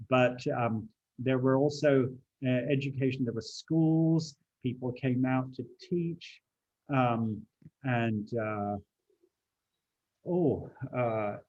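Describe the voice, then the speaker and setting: Man, 70s, raised in China, unhurried halting storyteller, middle pitch, dull video-call sound. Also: a little mellow. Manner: hesitant, many fillers and restarts. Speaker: guest speaker. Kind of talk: relaxed conversational recollection.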